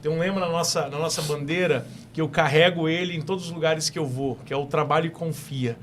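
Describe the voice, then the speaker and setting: A young man with an Espírito Santo accent, talking eagerly as a talk show guest. His voice sits a little high, and he often circles back to restart a phrase. A faint hiss runs underneath him. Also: fairly even intonation, a little fast, a bit bright. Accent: Brazilian Portuguese from Espírito Santo. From Espírito Santo, Brazil. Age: young adult. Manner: enthusiastic, repeats and restarts phrases. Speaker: guest entrepreneur.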